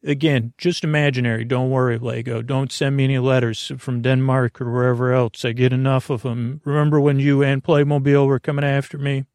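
A man hosting a sleep podcast, rambling through tangents in a low-key, unhurried way and breaking off his own sentences. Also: level tone, darkish tone, clear, resonant tone, no room sound, clean studio sound. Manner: meandering, self-interrupting, tangents. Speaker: podcast host. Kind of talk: rambling bedtime monologue.

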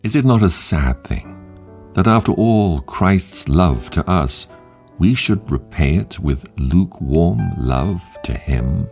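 A man hosting a devotional show, reading short quotes in a measured, emphatic way with long pauses. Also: low voice, expressive, darkish tone, faint music behind. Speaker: podcast host and devotional reader.

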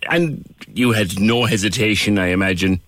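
A man's voice: fairly low pitch, normal speed, fairly bright tone, dry recording. A man hosting a casual radio talk show interview, chatty, laughing easily and repeating words as he goes. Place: radio studio. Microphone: studio microphone.

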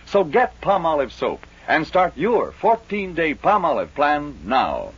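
An American man delivering a scripted sales pitch as a commercial announcer on 1940s radio. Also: wildly swooping pitch, a little slow, slightly rough. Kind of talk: 1940s radio advertising read. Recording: muffled old 1940s radio broadcast.

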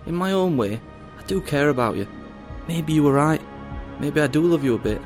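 An actor playing a controlling male villain in an audio drama, falsely tender, condescending and quietly threatening. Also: on the high side, lively intonation, fairly quick, over music, lightly acted. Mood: bitter, mock-tender.

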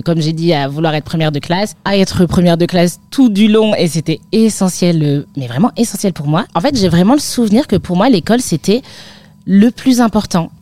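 A woman's voice: fairly low pitch, normal speed, dark tone, no room sound. A woman from northern France in her thirties, a midwife guest chatting casually with frequent fillers and light laughs. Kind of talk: casual interview chat.

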